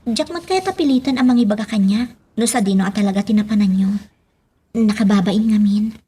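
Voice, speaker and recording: A woman's voice: medium pitch, brisk, dark tone, a little hiss or hum, natural voice. An actress playing a worried, embarrassed young woman in a radio drama, with a Filipino accent.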